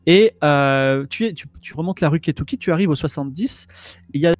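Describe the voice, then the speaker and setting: A man's voice: a little high, brisk, no room sound. A man, narrating as game master, hesitant and full of fillers, at a studio mic at home. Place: home room with bookshelves. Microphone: headphones and studio microphone.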